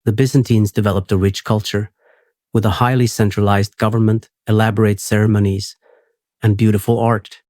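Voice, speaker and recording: A man hosting a sleep podcast, reading a script in a steady, unhurried, soothing way. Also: on the low side, no room sound, studio quality.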